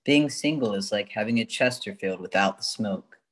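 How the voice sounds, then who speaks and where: A man's voice: lowish voice, moderate pace, close to an everyday voice. A man playing a contented, newly single customer giving a testimonial in an old-time radio commercial.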